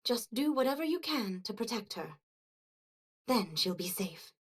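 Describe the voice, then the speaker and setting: A woman's voice: a little high, very animated, measured pace, husky, heavily acted. A woman playing an earnest comrade giving orders in a video game.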